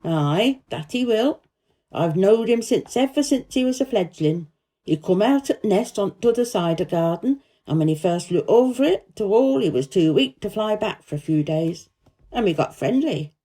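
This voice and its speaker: A woman voicing a surly but fond old gardener in a storybook, with a rough voice and Yorkshire dialect. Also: medium pitch, expressive, moderate pace, mellow, close to an everyday voice. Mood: fond, chatty. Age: older. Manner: crusty, rustic dialect speech. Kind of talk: storybook character reading.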